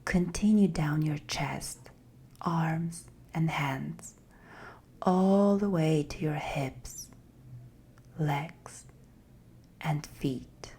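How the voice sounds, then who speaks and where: A woman guiding a meditation in a soft, breathy, half-whispered voice, gentle and soothing with long pauses. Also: sits low. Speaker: meditation guide. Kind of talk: guided meditation, slow scripted narration.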